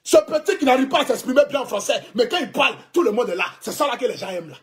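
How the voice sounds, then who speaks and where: A man's voice: very high-pitched, expressive, rapid-fire, a little hiss or hum. A man in his thirties with an Ivorian French accent, giving a fervent, repetitive, defiant livestream address as a social media blogger. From Ivory Coast. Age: 30s. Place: indoors, clothing rack behind.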